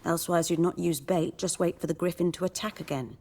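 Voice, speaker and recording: An actress playing a cool, bitter and knowing village herbalist in a fantasy game, measured and wry. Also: female voice, lowish voice, steady tone, a little mellow. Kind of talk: video game voice acting. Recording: music behind the voice. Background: music.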